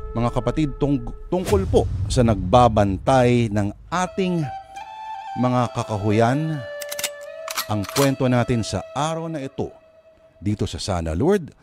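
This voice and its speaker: A Filipino man introduces a radio drama in a theatrical way. His pace is slow, his pitch very lively, and music plays clearly behind him.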